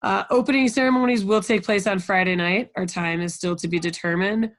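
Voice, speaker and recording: A woman's voice: medium pitch, fairly quick, dry recording, studio quality. An American woman leading a conference call as a program coordinator, giving an informal, encouraging briefing and often checking in with the group. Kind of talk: informal instructional briefing to coaches.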